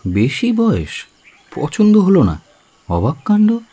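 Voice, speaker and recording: A man's voice: fairly high pitch, wildly swooping pitch, slow, warm tone, breathy edge, exaggerated voice. An actor playing a frank, wry young bachelor in a radio play, polite but teasing as he talks. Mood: baffled. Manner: polite, teasing, hesitant openers.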